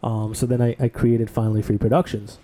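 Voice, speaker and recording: Podcast co-host guy, super dark mellow voice, rambly and laid back. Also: lowish voice, fairly quick. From Bangladesh. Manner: relaxed, rambling storytelling with fillers. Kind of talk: casual podcast conversation.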